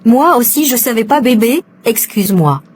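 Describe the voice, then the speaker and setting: An actress playing a cautious, money-minded secret mistress in an animated soap drama, evasive and coaxing. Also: female voice, a little high, normal speed, a little hiss or hum, lightly acted. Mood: apologetic, coaxing.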